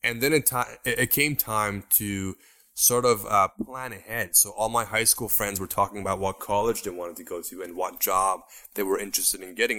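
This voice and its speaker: A man's voice: on the low side, sharp tone. A man in his twenties from Iran, a podcast guest telling his story steadily with frequent ums. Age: 20s. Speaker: guest YouTuber. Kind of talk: interview answers.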